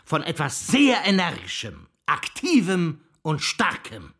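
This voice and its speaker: An actor playing a provocative, scornful arch-conservative intellectual in a German radio drama, declamatory, mocking and theatrically emphatic. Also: male voice, on the high side, huge pitch swings, unhurried, fairly bright tone, husky, heavily acted. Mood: scornful lecturing.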